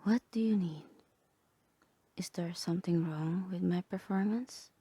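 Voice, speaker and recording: A woman voicing a calm, composed therapist in a soft, breathy, half-whispered ASMR roleplay, measured and clinical. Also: on the low side. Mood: calm, reassuring. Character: calm, composed female therapist guiding a patient through roleplay.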